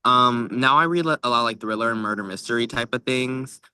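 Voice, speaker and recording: A man's voice: medium pitch, brisk, sharp tone, smooth, no room sound. A young man co-hosting a podcast in casual, joking chat, rambling along with lots of "like". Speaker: podcast co-host.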